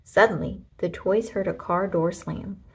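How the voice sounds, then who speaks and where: Husky woman reading a storybook. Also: on the low side, measured pace. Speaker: storybook narrator. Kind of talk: expressive read-aloud for children.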